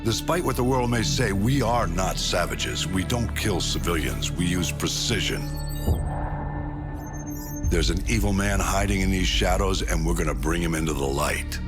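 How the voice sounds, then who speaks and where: Deep-voiced, grim military general. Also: male voice, expressive, normal speed. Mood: grim resolve. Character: grim resolute male military general giving mission briefing. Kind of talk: scripted dramatic mission briefing. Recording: loud music under the voice. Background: dramatic music.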